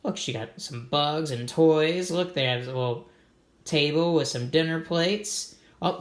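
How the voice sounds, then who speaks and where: Man reading to kids in an echoey room. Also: on the high side. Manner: simple, encouraging explanations addressed to children. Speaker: read-aloud host for children. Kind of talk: storybook reading with informal commentary.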